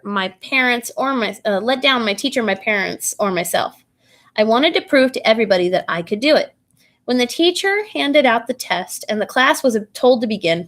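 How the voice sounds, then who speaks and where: A woman reading a children's bedtime story aloud in an expressive, animated way, putting questions to young listeners. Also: on the high side.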